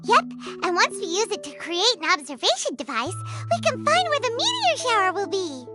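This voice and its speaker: Squeaky, extremely high little fairy sidekick. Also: female voice, very animated, moderate pace, over music. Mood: admiring, eager. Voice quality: squeaky, childlike. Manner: chirpy, talks of self in third person. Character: tiny childlike fairy companion, chirpy, excitable and loyal. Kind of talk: video game voice acting, cartoonish sidekick.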